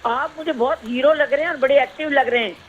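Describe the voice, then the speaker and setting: A woman calling in to a live cooking show, with a hoarse, slightly sore throat, chatting casually and teasing the contestants. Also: high voice, quick pace, a loud crowd. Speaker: phone-in caller. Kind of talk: casual phone chat. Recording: lo-fi phone line.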